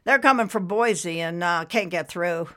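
A woman doing a gruff, stubborn waiting father. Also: on the low side, measured pace, bright, forceful tone. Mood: grumbling. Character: thin balding man, gruff and stubborn. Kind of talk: storybook character voice.

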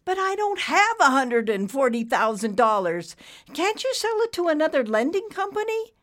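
Woman as a high-pitched, pleading, anxious businessman. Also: big pitch swings, normal speed. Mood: pleading, worried. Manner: pleading, hesitant, high-strung. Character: meek, anxious small businessman pleading for his loan. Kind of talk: audiobook character voice.

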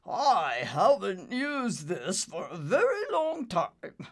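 A woman's voice: medium pitch, wildly swooping pitch, slow pace, heavily acted. A woman voicing a bumbling, self-assured old male wizard in a storybook reading, with fussy, fretful muttering. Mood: pompous.

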